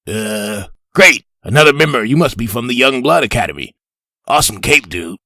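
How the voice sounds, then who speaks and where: A man's voice: medium pitch, lively intonation, normal speed, sharp tone, no echo, clean studio sound. A man playing a laid-back, brash young superhero with breezy, slangy dude talk in a comic book dub. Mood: cocky, amused.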